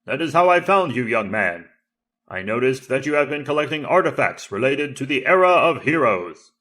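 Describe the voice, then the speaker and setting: A man voicing an ancient enchanted tiger saber in an audio drama, formal, flattering and theatrical. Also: medium pitch, moderate pace, voice pushed up. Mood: proud, gracious. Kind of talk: audio drama character acting.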